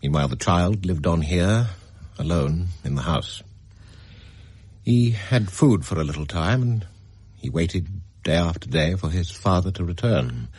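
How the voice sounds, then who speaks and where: House agent, radio mystery: very low, husky voice, halting and grave, close dry sound. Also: male voice, lively intonation, darkish tone, dry recording, full character voice. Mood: grave, sombre. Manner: halting, grave, measured storytelling. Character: hesitant house agent telling a grim local tale. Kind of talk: radio mystery drama acting.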